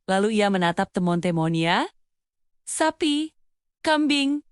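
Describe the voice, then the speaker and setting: A woman's voice: a little high, no echo, studio quality. A woman narrating a scripted children's fable as a storyteller.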